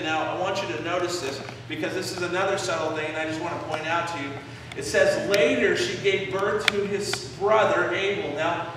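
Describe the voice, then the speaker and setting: A man in his forties preaches to his congregation, walking through a passage and pausing to stress key phrases. His voice sits high for a man. It comes from far off in a very echoey sanctuary with a long reverb tail. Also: noisy background. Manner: explanatory, gestures while preaching, pauses on key phrases. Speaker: pastor. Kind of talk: sermon. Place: church sanctuary, indoors, medium-sized. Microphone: far camera in the pews.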